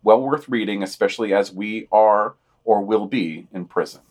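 A man's voice: fairly low pitch, moderate pace, darkish tone. A man hosting a podcast, reading scripted news items and dropping in dry, opinionated asides.

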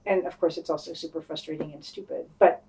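Casting: woman, lowish voice, opinionated podcast panelist, loose conversational delivery with casual swearing. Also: fairly even intonation, fast talker, darkish tone, slight background noise, poor audio. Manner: opinionated, informal, frequent swearing. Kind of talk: casual discussion.

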